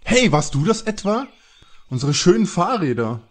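Guy doing a nervy teen detective, husky voice, pitch jumping around, radio play spoof. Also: big pitch swings, normal speed. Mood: casual. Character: anxious, excitable teenage boy detective. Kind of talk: audio drama parody acting.

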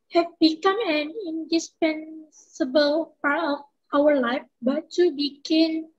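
A young woman with a Malaysian accent reading a prepared panel answer over a video call, halting, with fillers and self-corrections. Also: high-pitched, lo-fi sound. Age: young adult. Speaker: student panelist. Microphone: laptop microphone on video call.

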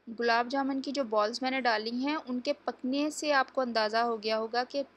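A woman's voice: on the high side, fairly even intonation, moderate pace. Chatty woman explaining a home recipe. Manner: chatty, instructive, addresses viewers directly. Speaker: cooking channel host. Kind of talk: home cooking tutorial voice-over. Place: home kitchen.

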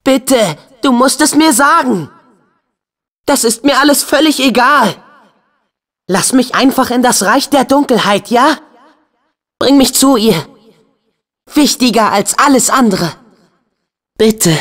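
Casting: loyal, impulsive teen boy game hero; emphatic, youthful, widely swinging intonation, unhurried pacing. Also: extremely high pitch, exaggerated voice. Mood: desperate pleading. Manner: youthful, emphatic, expressive delivery. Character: earnest, hot-headed teenage boy hero with a loyal heart. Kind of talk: dubbed video game acting.

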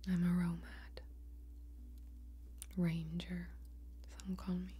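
A young woman playing a guarded, secretive female warrior ranger in a fantasy ASMR role-play, speaking slowly and intimately in a soft, breathy whisper. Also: fairly low pitch, completely flat delivery, exaggerated voice. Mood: guarded, solemn. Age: young adult. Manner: slow, deliberate, intimate.

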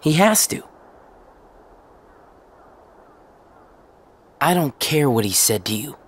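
An actor playing an earnest, headstrong, troubled teenage boy in a video game, with halting delivery. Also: fairly high pitch, big pitch swings, breathy edge. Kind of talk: video game cutscene voice acting.

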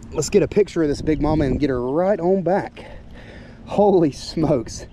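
A young man vlogging while fishing outdoors by the water, excitable and quick to laugh. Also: a little high, noisy background. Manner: excitable, shouting and laughing at catches.

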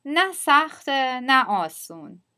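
Quick, bright-voiced woman reading dialogue. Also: sits high, sing-song. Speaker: dialogue speaker in a language lesson. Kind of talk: scripted dialogue lines.

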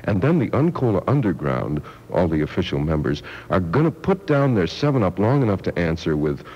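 A man with a low voice reads a tongue-in-cheek vintage radio commercial. He is wry and offhand but varied in pitch, in a muffled, reverberant recording. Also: big pitch swings, fairly quick, a little mellow, breathy edge. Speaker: commercial announcer. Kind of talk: mock-improvised radio commercial.

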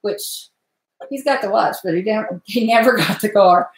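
A woman's voice: mid-range voice, moderate pace, a little hiss or hum. A woman in her forties with an Appalachian Virginia accent, chatting folksily with her live viewers while she cooks at the stove in her home kitchen. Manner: folksy, rambling, talks to chat viewers. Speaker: cooking live streamer. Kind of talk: chatty live-stream cooking talk. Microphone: webcam at stove. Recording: echoey kitchen.

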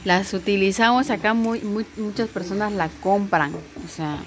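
A woman's voice: mid-range voice, a little slow. Guatemalan woman hosting a kitchen cooking show. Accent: Guatemalan Spanish. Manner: friendly explaining, many rhetorical "¿verdad?" tags. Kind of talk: casual cooking demonstration. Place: home kitchen. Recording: loud sizzling and kitchen noise.

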